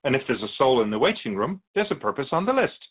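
A man playing a calm otherworldly spirit guide in a radio drama, explaining things in a measured, quizzical way as an interview guest. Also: medium pitch, husky, narrow, tinny audio. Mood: explanatory, playful. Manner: measured, explanatory, quizzical about human speech.

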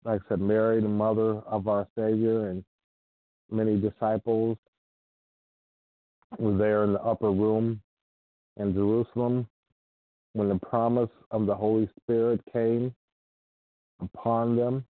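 A man's voice: on the low side, little pitch movement, dry recording. A man from Ohio giving an informal, earnest sermon with scripture reading. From Ohio, United States. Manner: earnest, unhurried, repeats himself. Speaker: preacher. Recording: dry tinny phone-like sound.

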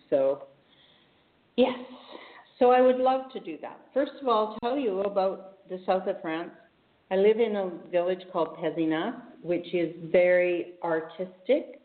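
A woman speaking as a guest healer in a casual interview, telling her story earnestly and reflectively, with restarts. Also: fairly low pitch. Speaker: podcast guest, spiritual healer. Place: home in a village, remote call. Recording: echoey, phone-like remote line.

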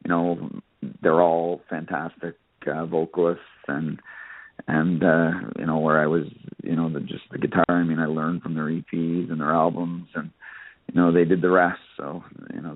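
A man's voice: rumbling low, flat delivery, moderate pace, no room sound. A man giving casual, conversational interview answers with frequent verbal hedging, as a guest musician. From Nova Scotia, Canada. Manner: hesitant, conversational, peppered with "you know". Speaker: interview guest, country singer and guitarist. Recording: narrowband phone-like radio line.

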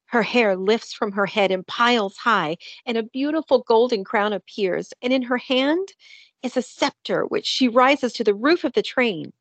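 A woman's voice: medium pitch, dry recording. Dry-sounding woman narrator of a drama. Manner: descriptive storytelling. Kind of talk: scripted audio drama narration.

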